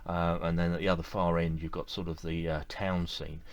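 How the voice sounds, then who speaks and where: Low-voiced middle-aged man chatting enthusiastically. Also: fairly quick. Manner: relaxed and enthusiastic, with many fillers and repeated words. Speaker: model railway collector vlogger. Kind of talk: casual to-camera review. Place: indoor room with display shelves.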